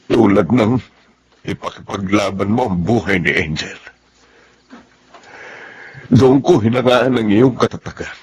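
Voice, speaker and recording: A man's voice: lowish voice, a little slow, pauses often, slightly rough. An actor playing an affectionate, ailing old father in a Filipino radio drama, with a Filipino accent. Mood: tender, grateful. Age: older. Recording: faint background noise.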